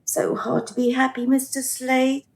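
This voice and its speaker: Woman acting an earnest, high-voiced unhappy dead soul. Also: flat delivery, a little slow, vocal fry. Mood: plaintive, self-righteous. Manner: plaintive, earnest, eager to please. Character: earnest, dutiful, unhappy dead woman pleading her case. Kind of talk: radio play acting.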